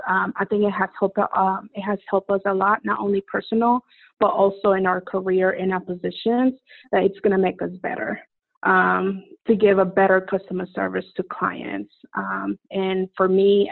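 A woman speaks earnestly and haltingly, keeping her pitch fairly flat. Her voice comes through thin and tinny, as if over a phone line. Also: vocal fry. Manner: earnest, halting, many fillers. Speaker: guest employee. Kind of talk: casual studio chat.

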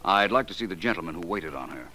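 A confident American detective in a vintage radio mystery. His low voice swings with lively inflection, and his polite questions come out crisp and insinuating. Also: fast talker. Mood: assured. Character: confident, courteous, probing male private detective. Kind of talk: 1940s radio detective drama acting.

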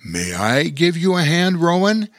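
A man voicing a huge, kindly male bear in a storybook, speaking calmly and gently. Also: on the high side, a little slow, no room sound, clean studio sound, voice pushed up. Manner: calm, warm-hearted.

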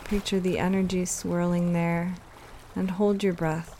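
A woman leading a guided meditation, giving soothing, unhurried instructions with pauses. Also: fairly low pitch, little pitch movement, mellow, slight background noise, rough recording.

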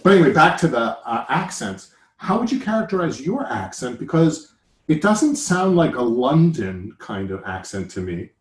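A man's voice: medium pitch, very animated, moderate pace, reverberant. A man with an American accent, hosting a casual chat in a joking, rambling way that often interrupts itself. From United States. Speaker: podcast host.

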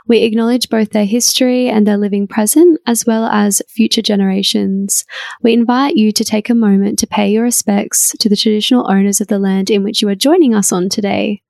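A woman's voice: medium pitch, a little fast, gravelly. A woman co-hosting a psychology podcast, chatting and telling stories with lots of laughter. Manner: giggly, animated storyteller. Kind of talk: casual chat.

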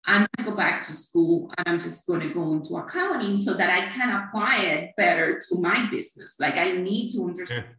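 A woman's voice: on the low side, brisk, few pauses, bright, forceful tone. A middle-aged woman from Cuba, chatting about her career as an accountant with many fillers. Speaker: accountant guest. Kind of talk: conversational interview. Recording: echoey, distant from mic.

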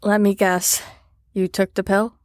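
A woman acting a firm, measured care worker questioning a patient in an audio drama. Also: mid-range voice, flat delivery, dry recording, studio quality, natural voice. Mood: patient, probing.

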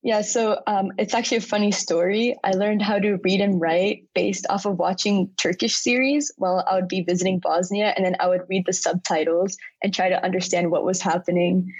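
A young woman from the United States talks casually in an interview, chatty and quick to laugh. Her voice is a little husky, at a middle pitch. The sound is close and dry. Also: steady tone, darkish tone, no echo, lo-fi sound. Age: young adult. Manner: chatty, laughs often between remarks.

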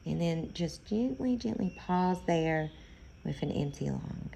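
A woman leading a guided meditation in a soothing, gentle, unhurried manner, pausing often between phrases. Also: lowish voice, animated, gravelly, slight background noise, poor audio.

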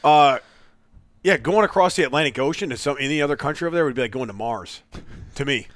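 A man's voice: mid-range voice, fast, fairly bright tone, dry recording. A man hosting a casual chat, blunt and joking, swearing freely and firing off rambling questions.

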